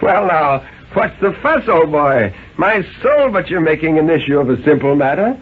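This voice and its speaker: A man's voice: fairly high pitch, very animated, moderate pace, husky, pitched above the performer's own voice. A man voicing a hearty, laughing friend with breezy, teasing banter in a radio story. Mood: jovial, teasing. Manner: breezy, genteel old-boy banter. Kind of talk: 1950s radio dramatic reading. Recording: narrow-band, noisy old radio recording.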